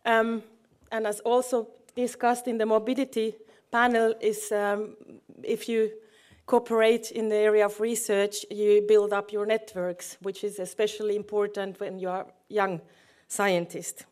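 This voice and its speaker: A woman from Finland, a non-native English speaker, giving a conference presentation in a halting, hesitant way. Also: mid-range voice, level tone, a little mellow. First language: not English. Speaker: project manager presenting. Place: conference room.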